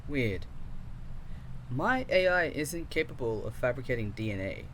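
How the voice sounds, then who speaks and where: A man's voice: mid-range voice, sing-song, unhurried, fairly bright tone, a little hiss or hum. A man playing a curious, teasing archive curator and programmer in an audio drama, in a conversational, slightly hesitant manner. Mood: puzzled, curious. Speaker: voice actor.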